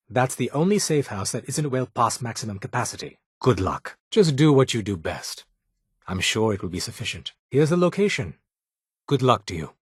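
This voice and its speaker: An actor playing a fussy, sardonic intelligence officer of an underground resistance in a video game, speaking in a clipped, formal, condescending way. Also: male voice, medium pitch, slightly rough, dry recording, clean studio sound. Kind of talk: video game character dialogue.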